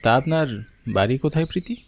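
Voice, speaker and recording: A man's voice: on the high side, lively intonation, fast talker. A man voicing a young schoolmaster in a horror cartoon who also narrates the story, with an earnest, anxious manner. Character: young adult male schoolmaster, earnest nephew.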